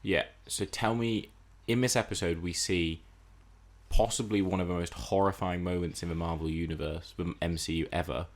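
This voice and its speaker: A man co-hosting a casual film and TV podcast, building up his points deliberately with rhetorical questions. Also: sits low, steady tone. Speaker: podcast co-host.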